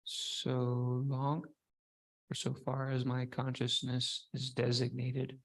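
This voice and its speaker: A man's voice: mid-range voice, monotone, takes it slowly, rough recording. A man in a class who repeats the lecture's phrases and says when he missed one.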